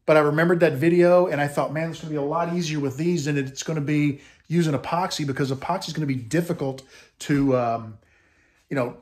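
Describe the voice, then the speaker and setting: A man in his fifties giving a casual update from his home woodshop, talking in a relaxed, chatty way with frequent "uh" fillers, filmed with a camera held at arm's length. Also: on the high side, fast talker. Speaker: woodworking channel host. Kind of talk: casual shop update vlog.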